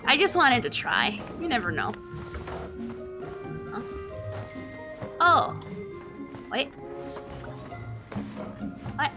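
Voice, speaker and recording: A woman's voice: sits high, big pitch swings, extremely slow, pauses often, over music. A woman live-streaming a game with casual, slangy, reactive commentary and offhand swearing.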